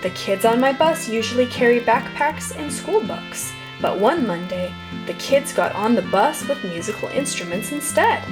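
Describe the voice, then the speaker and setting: A woman reading a children's storybook aloud in an animated, expressive way, stretching key words for emphasis. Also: fairly high pitch, normal speed, hardly any pauses, rough recording. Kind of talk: animated read-aloud narration. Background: music with plucked guitar.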